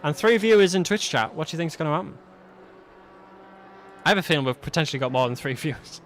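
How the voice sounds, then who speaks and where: British male race commentator, higher voice, noisy stream. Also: lively intonation, fast talker, a bit bright. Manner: jokey, matey, colloquial. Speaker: esports livestream race commentator. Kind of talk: live sim racing commentary with casual banter. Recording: noisy stream with car engine sound.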